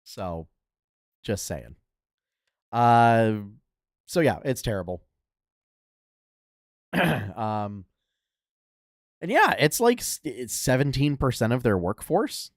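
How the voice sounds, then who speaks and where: A young adult man hosting a casual gaming news podcast, talking in a relaxed way with lots of fillers, a little nasal from a cold. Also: mid-range voice, slow pace, pauses often, no room sound, studio quality. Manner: laid-back, many fillers and restarts. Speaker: podcast host.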